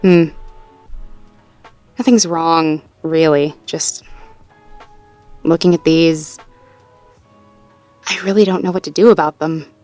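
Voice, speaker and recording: A woman playing a supportive, playful girlfriend in an intimate one-sided roleplay audio, talking gently and encouragingly with light teasing and natural hesitations. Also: low-pitched, steady tone, takes it slowly, long pauses, no echo, faint music behind. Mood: discouraged, thoughtful. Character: supportive, playful girlfriend helping partner problem-solve.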